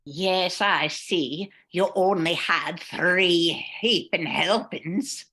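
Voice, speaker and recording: Older woman as slow, sarcastic housekeeper. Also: on the low side, sharp tone, full character voice. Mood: dry sarcasm. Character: slightly sarcastic Irish woman housekeeper and cook, loving mother. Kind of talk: 1940s radio acting.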